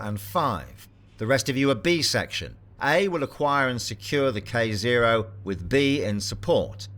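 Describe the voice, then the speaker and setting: A man's voice: medium pitch, fairly bright tone. An actor playing a grim male task force leader in an audio drama, speaking in a terse, commanding military manner. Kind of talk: scripted audio drama narration and briefing.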